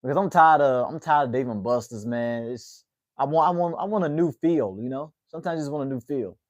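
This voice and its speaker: A man in his late twenties chats easily with his livestream viewers, relaxed and unhurried. He keeps ending phrases with a drawled "man." His voice sits at an ordinary middle pitch, recorded close and dry with no room sound. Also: dry recording, muffled recording. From United States. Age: 20s. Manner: laid-back, drawls "man" at the ends of phrases. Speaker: livestream host. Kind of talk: casual livestream chat with viewers.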